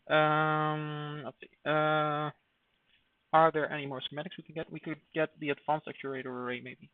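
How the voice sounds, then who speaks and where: A man's voice: mid-range voice, vocal fry, dry recording. A man giving casual live commentary while streaming a game, thinking aloud with hesitant fillers. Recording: dry, phone-like narrow sound.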